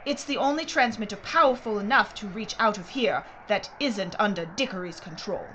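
An actress playing a brisk, secretive mining executive in an audio drama, speaking in a precise, formal way. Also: female voice, medium pitch, lively intonation, pushed and bright. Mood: brisk, explanatory. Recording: clear background noise and ambience.

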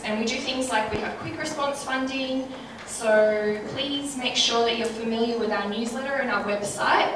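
An Australian woman, around her thirties, giving a spoken welcome as host to a live audience. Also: fairly high pitch. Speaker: event host, music industry executive. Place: indoor event room with large windows. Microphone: handheld microphone through a PA. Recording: echoey room, distant sound, clear background noise.

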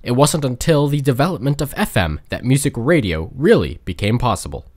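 A man's voice: mid-range voice, very animated, brisk, darkish tone. A man reading scripted narration for a documentary.

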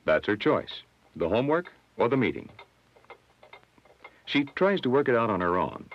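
An American man narrating a scripted 1960s educational film in a measured, instructive manner. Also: mid-range voice, very animated. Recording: dull, lo-fi old film soundtrack.

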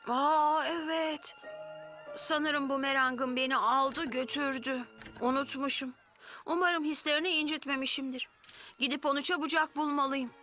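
Young boy cartoon hero: high, excitable, caring, with music running behind. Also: a little slow. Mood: apologetic. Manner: exclamatory, earnest, energetic. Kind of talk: animated children's cartoon dub. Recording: dull lo-fi audio with music.

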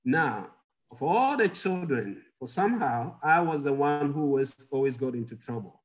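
A man's voice: a little high, lively intonation, moderate pace, poor audio. A middle-aged man from Ghana, a guest doctor telling personal stories in an interview over a video call from a home office. He chuckles often and puts questions to his audience.

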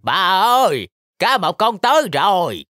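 A performer voicing a kindly old grandpa shark in a children's cartoon, animated and coaxing. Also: mid-range voice, unhurried, faint music behind. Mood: concerned.

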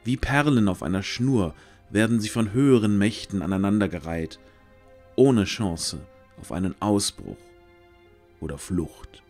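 A man with a fairly low voice narrates a fantasy audio play. He reads in a measured storytelling manner, leaving deliberate pauses between phrases. Soft music plays behind him. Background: background music.

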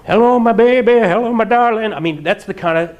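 A middle-aged man preaching a sermon in a church hall, animated and often breaking into mock singing and laughter. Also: sits high, brisk, dull audio. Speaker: pastor. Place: church hall with projector screen.

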